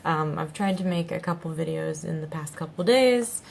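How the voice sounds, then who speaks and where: A young woman, hoarse from a cold, chatting in a rambling, relaxed way as she hosts a haul vlog. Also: deep voice, normal speed, a little hiss or hum. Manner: rambling, lots of ums and likes. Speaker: vlog host.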